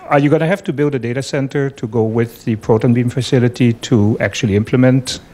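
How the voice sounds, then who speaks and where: A man in the audience asking a presenter a practical question at a conference, speaking in a halting, conversational way. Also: mid-range voice, fairly even intonation, a little mellow. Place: conference room.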